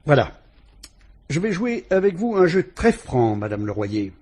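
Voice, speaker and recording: An actor with a French accent playing a calm, shrewd police inspector who politely and ironically probes a suspect in a vintage radio drama. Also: male voice, fairly high pitch, husky, full character voice. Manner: measured, probing, politely ironic.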